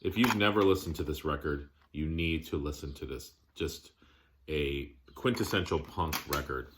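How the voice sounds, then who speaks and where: A man giving a casual show-and-tell of his collection, plainspoken, listing items in short, halting phrases. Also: a bass voice, noisy background.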